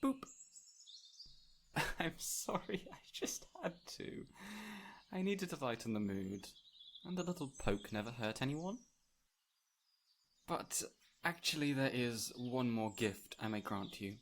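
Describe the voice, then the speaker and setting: A man playing a gentle, whimsical fey spirit in an audio roleplay, speaking in a theatrical, formal, old-fashioned way straight to the listener. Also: medium pitch, sing-song, slow, long pauses, exaggerated voice. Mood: playful, apologetic. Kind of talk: intimate audio roleplay, addressing the listener directly. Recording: clear ambient noise with birdsong. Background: birdsong and forest ambience.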